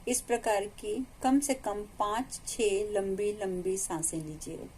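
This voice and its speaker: Indian female meditation guide, mid-pitched, unhurried and calm, instructive delivery with spacious pauses, some background ambience. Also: a little hiss or hum, poor audio. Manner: calm, instructive, with long pauses between phrases. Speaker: meditation teacher.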